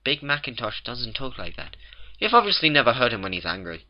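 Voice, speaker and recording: A man voicing a fussy, anxious female unicorn scholar who keeps objecting in rapid exasperation. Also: mid-range voice, sing-song, highs cut off, slight background noise, pitched above the performer's own voice, lightly acted. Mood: exasperated.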